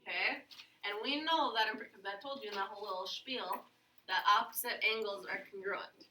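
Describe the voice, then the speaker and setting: A woman's voice: medium pitch, normal speed, sharp tone, slight background noise. A woman teaching a geometry class, upbeat and coaxing her students with questions. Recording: echoey classroom, mic at a distance.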